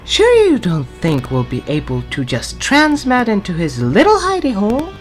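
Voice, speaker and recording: A woman's voice: medium pitch, huge pitch swings, normal speed, faint music behind. A woman playing an arch, sardonic renegade time-traveller in an audio drama, with a mocking, drawling, theatrical manner. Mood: dry scepticism.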